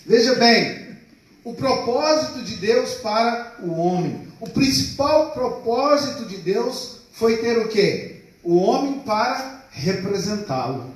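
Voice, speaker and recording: A man preaches a sermon, his fairly high voice ringing through a very echoey church hall. He stands well back from the mic, stretches key phrases slowly and keeps calling on the congregation to answer. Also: animated, recorded from a distance. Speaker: pastor.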